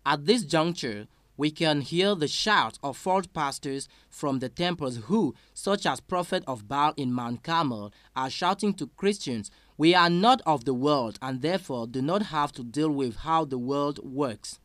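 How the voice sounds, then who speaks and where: Slow, careful male sermon interpreter. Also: a little high, animated, a bit bright, no echo. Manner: slow, careful, with small slips and fillers.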